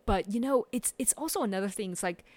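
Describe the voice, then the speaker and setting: A woman's voice: medium pitch, lively intonation, fast talker, darkish tone. A woman from Taiwan speaking as a podcast guest in a thoughtful, reflective way. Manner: thoughtful, reflective, with frequent fillers and restarts. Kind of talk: casual conversational podcast.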